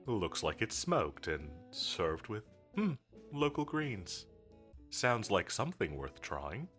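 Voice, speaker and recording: A man acts out a suave villain boss for a roleplay audio drama. His low voice is crisp and forward, unhurried in every phrase. He is confident and flirtatiously teasing, with the edge of someone used to giving orders, over soft music. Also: very animated, exaggerated voice. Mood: playful, flirtatious. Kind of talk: scripted roleplay audio drama addressed to the listener.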